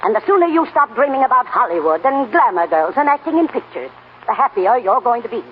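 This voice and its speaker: Scornful scolding farm aunt, animated. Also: female voice, a little high, quick pace. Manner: harsh, belittling. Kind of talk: 1940s radio acting. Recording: tinny, noisy 1940s radio recording.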